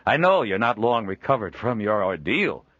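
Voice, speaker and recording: A booming, jolly middle-aged merchant, as a man puts him on, pitched up and loud with wide, bouncing swings in his voice. His talk is dry and dull in tone, close and unroomy. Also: big pitch swings, normal speed, no room sound. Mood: jovial. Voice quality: hearty, booming, laughs often. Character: jovial middle-aged male traveling trader.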